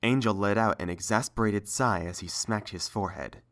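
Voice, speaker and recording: Really low voice narrating a fan fiction story, scripted reading. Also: normal speed.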